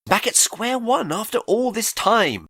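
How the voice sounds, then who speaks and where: An anxious robot psychiatrist from a radio play , acted by a man. The pitch is high and swings widely, the tone bright and strained, as he pleads in broken phrases. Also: big pitch swings, slight background noise, heavily acted. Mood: anxious, stern. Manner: halting, pleading, stammering. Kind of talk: audio drama acting. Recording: music under the voice.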